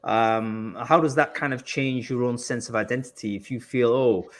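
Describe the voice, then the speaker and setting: A man's voice: mid-range voice, normal speed. Hesitant English man hosting a panel. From England. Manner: hesitant, many restarts and fillers. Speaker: host. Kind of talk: panel discussion.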